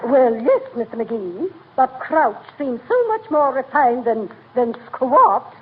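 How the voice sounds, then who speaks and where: An actress playing a fluttery, haughty society matron in 1940s radio comedy, with affected refined speech. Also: female voice, sits high, big pitch swings, slow, full character voice. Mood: genteel, fluttery. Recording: tinny, noisy 1940 radio broadcast.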